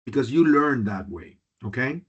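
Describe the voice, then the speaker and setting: Halting male instructor on a muffled video call. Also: medium pitch, expressive, husky. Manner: halting, repeats words, drops into Spanish and Italian. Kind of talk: online class introductions.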